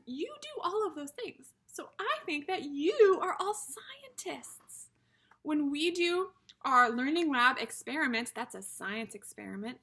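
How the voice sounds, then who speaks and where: High bright-voiced woman reading to young children. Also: vocal fry, husky. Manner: childlike-friendly teacher talk, addressing listeners as friends, explaining simply. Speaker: early childhood teacher. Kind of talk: read-aloud picture book for young children.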